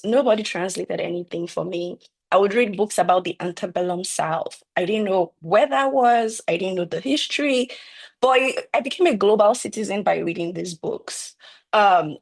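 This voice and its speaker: A woman writer speaking on a panel, reflective and measured, with frequent fillers. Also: medium pitch, creaky. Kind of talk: panel discussion answer.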